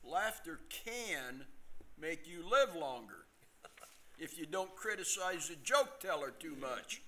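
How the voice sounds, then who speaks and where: An older man playing a corny, genial joke-teller in an amateur staged reading, pausing deliberately before his punchlines. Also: a little high, big pitch swings, bright, forceful tone, heavily acted. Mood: mildly defensive.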